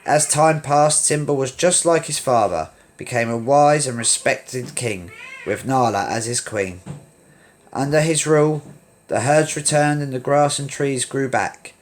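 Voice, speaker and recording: A man reading a children's story aloud in an expressive read-along style, with emphatic delivery. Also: medium pitch, unhurried, slight background noise.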